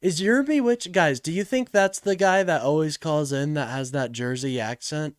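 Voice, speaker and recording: A man's voice: fairly high pitch, moderate pace, clear, resonant tone, dry recording, clean studio sound. A man hosting a live political call-in stream in a casual, joking way, with slang and swearing as he talks to his chat.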